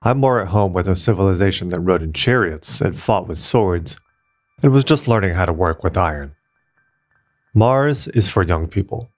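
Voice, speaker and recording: A man reading the part of an old, kindly, resigned male archaeologist in an audiobook, gentle and reflective. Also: low voice, lively intonation, normal speed. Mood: weary.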